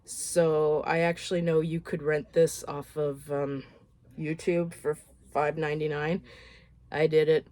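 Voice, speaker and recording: Woman, hoarse with a cold, chatty, frank, casual film rundown. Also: low-pitched, flat delivery, unhurried, a little mellow, no room sound. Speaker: horror film vlogger. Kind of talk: casual movie recap.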